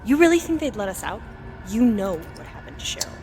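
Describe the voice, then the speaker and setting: An actress playing a resolute, wary crew leader in an audio drama, speaking firmly and earnestly. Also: female voice, medium pitch, big pitch swings, brisk, darkish tone, faint music behind, natural voice. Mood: grave, resolute. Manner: firm, earnest.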